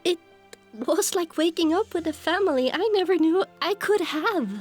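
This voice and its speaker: A woman narrating a dramatic first-person story in an expressive, emotive way, as an audiobook narrator. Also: extremely high pitch, normal speed. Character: young pony heroine, emotional and earnest. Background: music under the voice.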